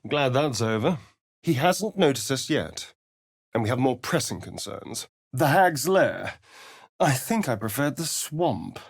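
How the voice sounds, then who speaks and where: An actor playing a sardonic, vain vampire spawn rogue in a video game, with a theatrical, drawling British delivery. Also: male voice, mid-range voice, big pitch swings, measured pace, creaky, breathy edge, dry recording, clean studio sound, exaggerated voice. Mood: arch, amused. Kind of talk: video game character voice lines.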